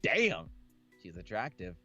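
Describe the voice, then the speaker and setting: Lively man reading a game aloud. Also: deep voice, takes it slowly, lo-fi sound. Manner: slangy, jokey, reacting aloud. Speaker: let's play host reading a visual novel aloud. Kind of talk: casual playthrough reading and commentary. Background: background game music.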